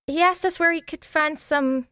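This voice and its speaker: A woman's voice: high-pitched, moderate pace, pushed and bright, no echo, clean studio sound. A woman playing a sensible, helpful sidekick sleuth, reasonable and conciliatory, in a comic audio drama. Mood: placating. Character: sensible, helpful female sidekick sleuth, quick to smooth things.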